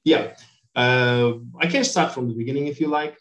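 An echoey online call carries a middle-aged man with a Turkish accent. His voice sits at an average pitch. He answers as an interview guest in an informal, conversational way. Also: normal speed, a bit bright. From Turkey. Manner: informal, peppered with "you know". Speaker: interview guest, company director.

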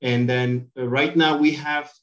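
A man's voice: medium pitch, steady tone, a little slow, lo-fi sound. Middle-aged male company director, Turkish accent, mid-range voice, informal interview answers on an echoey online call. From Turkey. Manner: informal, peppered with "you know".

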